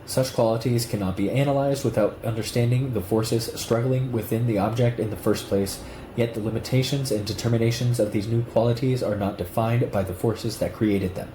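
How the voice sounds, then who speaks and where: A man reading a written text aloud at a steady, measured pace. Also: on the low side, reverberant, background noise. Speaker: reader of a political text.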